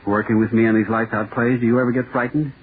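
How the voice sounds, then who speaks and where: An actor playing a nervous, overworked male author in 1940s radio drama, halting and muttering to himself. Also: medium pitch, flat delivery, natural voice. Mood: uneasy, musing. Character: jittery, overworked male horror-play author, restless and self-doubting. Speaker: radio playwright dictating a script. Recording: scratchy, noisy, tinny old radio broadcast.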